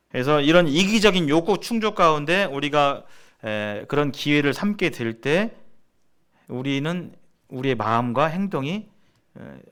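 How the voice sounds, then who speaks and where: Man giving a conversational church teaching. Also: on the high side. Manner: conversational and didactic, posing questions to listeners. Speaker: church seminar speaker. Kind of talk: sermon-like teaching to church members.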